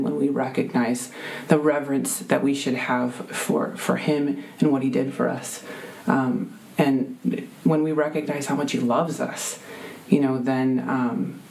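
Deep-voiced woman sharing her testimony. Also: creaky, darkish tone, rough recording. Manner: earnest, many fillers and restarts. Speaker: guest. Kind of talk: personal faith testimony.

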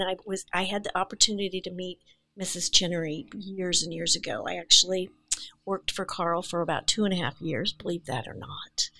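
A woman's voice: fairly low pitch, fairly bright tone, no room sound. An older woman speaking as an actress guest on a podcast, talking in an earnest, heartfelt way. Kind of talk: casual podcast chat.

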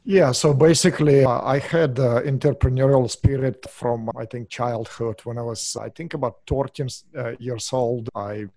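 A middle-aged man from Russia with a Russian accent, a business owner explaining his work in an interview, pausing often with fillers. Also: mid-range voice, steady tone, darkish tone, no echo. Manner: hesitant, many uhs and restarts. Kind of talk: interview answers.

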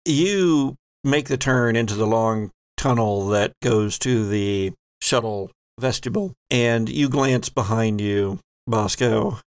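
A man runs a horror tabletop game as its game master. He describes scenes with suspense, taking his time in a gravelly, medium-pitched voice. The sound is dry. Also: takes it slowly, hardly any pauses, dry recording.

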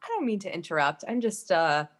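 A woman's voice: on the low side, big pitch swings, fairly quick. A woman playing an exasperated, nagging wife and mother in an improv comedy sketch. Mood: uneasy protest.

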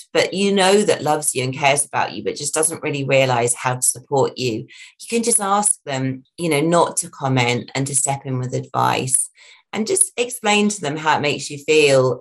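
A woman with a very deep, gravelly voice that sounds croaky today. She talks gently and reassuringly, with plenty of fillers, as she hosts her own podcast. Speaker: podcast host, eating disorder therapist. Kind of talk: solo podcast talk.

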